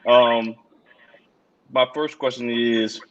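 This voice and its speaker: A man hosting a podcast interview, asking questions in a relaxed way. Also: medium pitch, takes it slowly, muffled recording. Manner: relaxed, repeats "all right". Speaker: podcast host.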